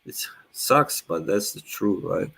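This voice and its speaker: Slow, low-voiced Bosnian-born man. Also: expressive, vocal fry, pushed and bright, slightly rough. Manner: slow, laid-back, laughs often. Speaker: live stream host. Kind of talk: casual chat.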